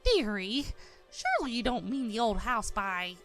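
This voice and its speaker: High, motherly pony shopkeeper played by a woman. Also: very animated, normal speed, over music. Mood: worried. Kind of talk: dramatized story reading.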